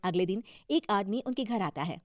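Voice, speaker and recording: A woman's voice: mid-range voice, animated, normal speed, no room sound, clean studio sound. A woman reading scripted narration for a moral story as the storyteller.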